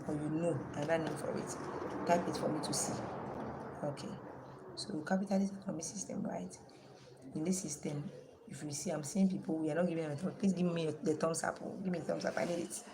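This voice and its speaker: A woman's voice: sits low, noisy background. A woman teaching an online class with a strained voice, asking her students questions and repeating the key terms.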